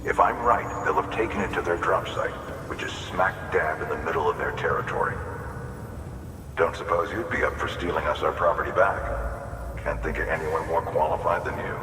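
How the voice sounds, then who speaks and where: A practical video game technician with an extremely deep voice gives colloquial briefings. The sound is distant and strongly echoing, with clear noise around it. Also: male voice, normal speed. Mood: conspiratorial, matter-of-fact. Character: male outpost technician, casual, colloquial, practical. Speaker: mission-giving technician at an outpost. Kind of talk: scripted video game dialogue. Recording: echoey hall, distant, clearly noisy.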